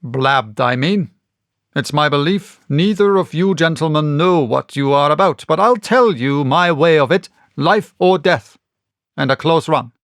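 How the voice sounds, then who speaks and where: A sharp, stern ship's captain, as a male reader acts him out in a storybook. He speaks bluntly and formally, with clipped insistence and a raised pitch, recorded close and dry. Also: animated, unhurried, no echo. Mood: grim, insistent. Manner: plain-spoken, clipped, insistent. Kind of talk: audiobook character reading.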